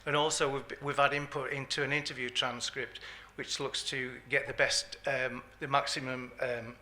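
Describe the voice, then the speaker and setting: A man's voice: medium pitch, level tone, normal speed, bright, forceful tone, slight background noise. Hesitant man at a conference mic. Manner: hesitant, many ums and uhs. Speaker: public governance advisor, patient. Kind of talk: conference talk. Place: conference hall.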